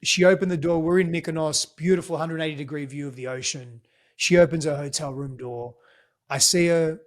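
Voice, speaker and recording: A man's voice: on the high side, fairly even intonation, a little fast. A man with an Australian accent whose first language is Greek, a podcast guest telling a personal story in an earnest, reflective way. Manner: reflective, earnest, frequent restarts. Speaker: guest, relationship coach. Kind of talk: conversational storytelling.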